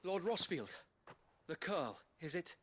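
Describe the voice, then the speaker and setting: A man's voice: on the high side, big pitch swings, slow, fairly bright tone, slight background noise, full character voice. A man voicing a loyal knight in a game, formal, earnest and deferential. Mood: weary relief. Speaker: video game character. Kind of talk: dramatic game voice acting. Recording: faint game music behind. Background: game music.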